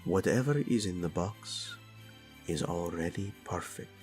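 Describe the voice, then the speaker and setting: A man's voice: deep voice, a little slow, a little mellow, soft background music. A man playing a flirtatious, doting prince and husband in a romantic audio roleplay, speaking intimately and expressively. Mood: tender.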